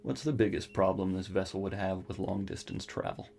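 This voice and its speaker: Male scientist for sci-fi audio drama: low, creaky voice, cerebral, deliberate, melancholy. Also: a little mellow, slight background noise. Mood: probing. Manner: halting, deliberate, formal wording.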